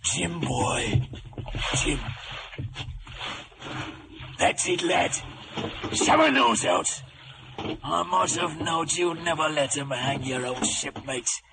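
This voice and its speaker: An actor playing a wily, one-legged old pirate with a West Country dialect and a gravelly voice in a 1950s radio drama. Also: male voice, a little high, animated, slow pace, long pauses, exaggerated voice. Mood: fond, relieved. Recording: noisy vintage radio broadcast.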